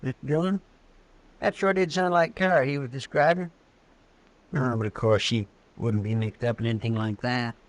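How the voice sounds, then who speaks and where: A man's voice: medium pitch, steady tone, moderate pace, close to an everyday voice. A man playing a naive, earnest marshal's sidekick in an old-time radio western, talking in a folksy, deferential way. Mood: earnest, concerned. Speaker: radio drama actor. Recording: vintage radio drama recording.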